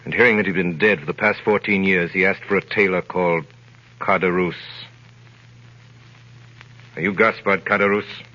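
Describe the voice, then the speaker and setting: Solemn probing stranger, very deep voice, bright hard edge, measured and formal, 1930s radio acting. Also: male voice, steady tone. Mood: grave narration. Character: pale, grave mysterious man near forty, probing for secrets. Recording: dull old 1930s radio broadcast recording.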